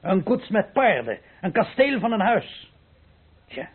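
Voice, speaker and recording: A ruined innkeeper, anxious and rueful. His slightly high, raspy voice stumbles over words in hesitant radio play dialogue. The recording is old and muffled. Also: very animated, a little slow, heavily acted. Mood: agitated, regretful. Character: dejected, bankrupt innkeeper, anxious and grudging. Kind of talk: Dutch radio play acting.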